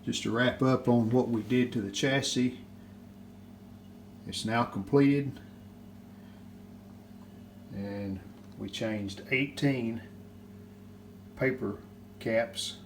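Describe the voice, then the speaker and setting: A man's voice: mid-range voice, extremely slow, creaky, long pauses, slight background noise. A man talking casually through a hands-on restoration in a plain, matter-of-fact way, in short clipped sentences. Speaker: host of a vintage radio repair video. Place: indoors at home.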